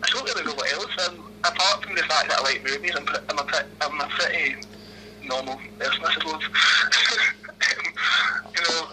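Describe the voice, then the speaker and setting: A man's voice: fairly high pitch, moderate pace, pauses often, background noise. A man in his twenties with a Glaswegian accent, answering interview questions over a phone call in a hesitant, laughing way, full of ums and restarts. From Scotland. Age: 20s.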